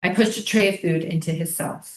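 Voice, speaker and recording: A woman's voice: fairly low pitch, brisk, a little mellow, distant mic, lightly acted. A woman playing a brusque American military prison guard in a staged play reading, blunt and clipped. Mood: matter-of-fact. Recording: roomy, echoing stage sound.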